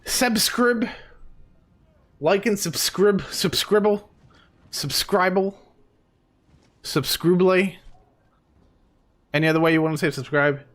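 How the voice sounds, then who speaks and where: A man's voice: high voice, takes it slowly, long pauses, fairly bright tone. A man streaming a game live, chatting casually and rambling with plenty of fillers, tired and losing his voice.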